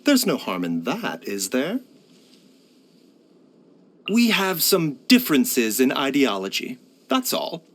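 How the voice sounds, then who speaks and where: A man voicing a courteous, wry pharmacist and doctor in a video game, speaking in measured, formal phrases. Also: medium pitch, wildly swooping pitch, heavily acted. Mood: gentle, composed, then wry, weary. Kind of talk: scripted video game character voice lines.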